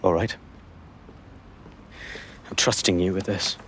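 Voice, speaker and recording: Anxious game spy analyst, deep, grave and halting, earnest, faint engine noise behind. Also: male voice, steady tone, a bit bright. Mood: confiding. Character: weary, fearful male intelligence analyst. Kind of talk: video game voice acting.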